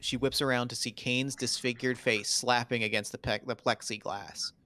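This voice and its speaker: A man's voice: mid-range voice, steady tone, moderate pace, bright, forceful tone. A man reading screenplay stage directions in a dramatic table read.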